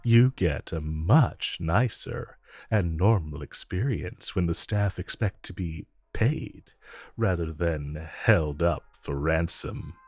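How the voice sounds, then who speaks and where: A man playing a suave, flirtatious supervillain in a romantic audio roleplay, talking in a smooth, teasing, unhurried way with drawn-out pauses. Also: low voice, expressive, a little mellow, no echo, exaggerated voice. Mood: playful, flirty. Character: suave, flirtatious male supervillain visiting his tailor. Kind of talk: romantic audio roleplay acting addressed to the listener.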